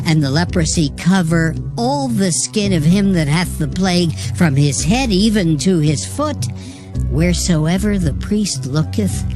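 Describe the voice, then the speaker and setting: A woman giving a measured, formal, dramatized reading of King James scripture. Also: lowish voice.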